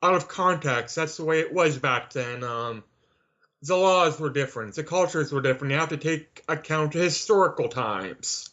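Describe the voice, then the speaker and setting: A man's voice: fairly high pitch, expressive, moderate pace, fairly bright tone. A man from Canada speaking as an interview guest in an assertive, opinionated, conversational way. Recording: slightly dull lo-fi audio.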